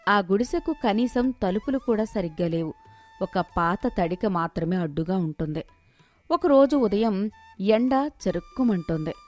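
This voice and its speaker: A woman's voice: medium pitch, animated, normal speed, a little mellow, soft background music. A woman reading scripted narration as the storyteller of a moral tale.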